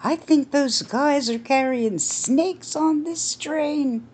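A woman voicing a panicky woman who is terrified of snakes and speaks in a shrill, frantic way. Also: slow pace, darkish tone.

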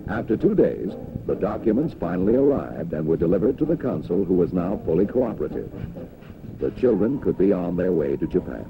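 Low, lively American man narrating, dated film sound. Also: brisk, narrow, tinny audio, background noise. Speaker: narrator of a corporate training film. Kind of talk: 1960s scripted industrial film narration.

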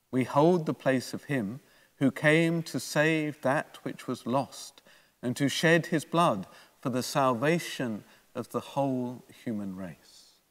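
Older priest in a reverberant church. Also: male voice, medium pitch. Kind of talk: homily, read from prepared notes. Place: church, at a lectern. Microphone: gooseneck lectern microphone.

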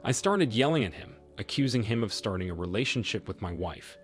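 A man reading reader-submitted stories aloud in a steady scripted narration, then giving his own reaction. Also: lowish voice, brisk. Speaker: story narrator. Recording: voice-over with soft music bed.

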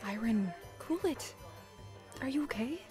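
A woman's voice: sits high, lively intonation, measured pace. A woman playing a brash, bossy adventurer with a blunt, teasing manner. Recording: loud music under the voice.

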